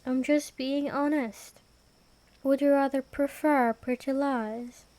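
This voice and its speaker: Woman acting as ancient vampire queen, high-pitched voice, unhurried pace, imperious, formal, ASMR fantasy roleplay. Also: fairly even intonation, darkish tone, no room sound. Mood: cool, menacing. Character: ancient vampire queen, aloof, menacing yet protective woman.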